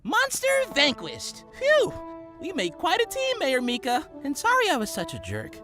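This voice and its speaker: A woman voicing a brash, headstrong little superhero pet in a children's cartoon, making bold, excitable declarations. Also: high voice, normal speed. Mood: relieved. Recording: music behind the voice.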